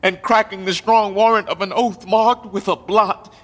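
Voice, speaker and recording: A man acting a deposed English king in Shakespearean verse, grieving and bitterly ironic, with a theatrical, deliberate delivery. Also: high voice, steady tone. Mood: wounded outrage. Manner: theatrical, deliberate, swinging from hushed to declaiming. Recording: long reverberant hall.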